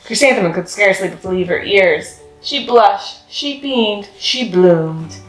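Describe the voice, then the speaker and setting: A woman's voice: medium pitch, lively intonation, a little slow. A woman narrating a children's picture book as a read-aloud storyteller. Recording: roomy echo with faint music.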